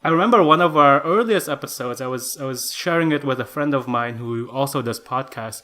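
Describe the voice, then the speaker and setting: A man's voice: medium pitch, brisk, sharp tone. A man from Indonesia co-hosting and editing a casual podcast, reflective and self-deprecating, and he laughs often. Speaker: podcast co-host and editor. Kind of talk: casual conversational podcast chat.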